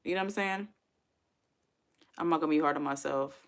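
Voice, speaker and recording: Woman, 40s, livestream host, slightly low voice, casual and chatty with viewers. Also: brisk, smooth. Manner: chatty, talks straight to chat, laughs often. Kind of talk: casual chat reading viewer comments.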